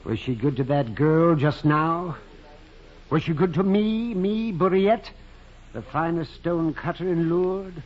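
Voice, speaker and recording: An actor playing a bitter, excitable half-blind stonecutter in an old radio drama, theatrical and exclamatory. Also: male voice, a little high, slow. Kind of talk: 1940s radio drama acting. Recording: muffled old radio, crowd behind.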